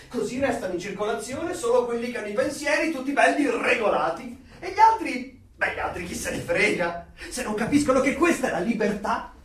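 A man's voice: very high voice, fairly bright tone, far from the mic, exaggerated voice. A man acting a fervent, gleefully conformist citizen of a dystopia in a theatrical radio drama, declamatory and mocking. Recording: echoey room, away from the mic.

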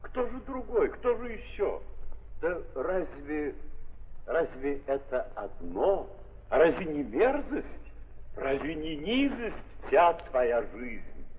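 Slow, highly animated theatrical male narrator. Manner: theatrical, probing, repeats phrases for emphasis. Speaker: narrator of an audio drama. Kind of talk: dramatic 1930s radio theatre narration. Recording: muffled 1930s radio recording, long hall reverb.

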